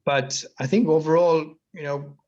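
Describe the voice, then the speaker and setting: Israeli guy on a zoom panel, pretty monotone, kinda muffled audio, lots of um and you know. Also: a little high, unhurried. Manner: hesitant, many fillers. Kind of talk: casual panel talk over video call. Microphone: video call. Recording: muffled video call audio.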